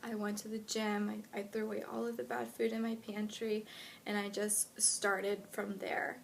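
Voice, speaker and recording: A woman's voice: medium pitch, normal speed. A woman of twenty-one with an American accent, chatting casually to followers as a fitness vlogger. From United States, lived in Honduras when younger. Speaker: fitness blogger introducing self to followers. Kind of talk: casual vlog chat answering questions. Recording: clear steady background noise.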